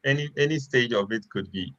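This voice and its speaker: A man's voice: mid-range voice, fairly quick, fairly bright tone, highs cut off. A man moderating a live panel, reading out questions and handing them to panellists.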